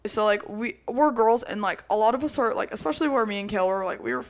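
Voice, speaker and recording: A young woman co-hosting a podcast, telling a roommate story in casual chat with a loud, projecting voice and lots of "like". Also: mid-range voice, quick pace. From Italian roots. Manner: animated storytelling with frequent "like". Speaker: podcast co-host.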